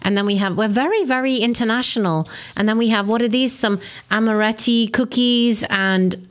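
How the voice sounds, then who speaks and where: A woman's voice: on the high side, normal speed. A woman co-hosting a film podcast, chatting and teasing casually as she recommends films. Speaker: podcast co-host.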